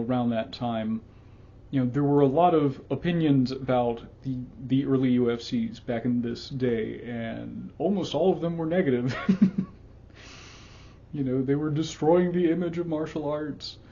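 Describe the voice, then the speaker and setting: Guy reading from a book then chatting about it, husky mellow voice, kinda muffled lo-fi mic. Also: medium pitch. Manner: even reading voice, chatty with many fillers when commenting. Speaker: channel host reading a memoir excerpt. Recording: dull lo-fi sound.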